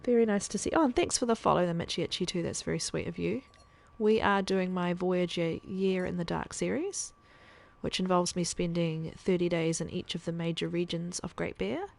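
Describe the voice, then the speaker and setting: A woman streaming a survival game, thinking aloud in a chatty way with soft muttered asides. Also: on the low side, fairly even intonation, normal speed, creaky. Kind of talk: casual gameplay commentary.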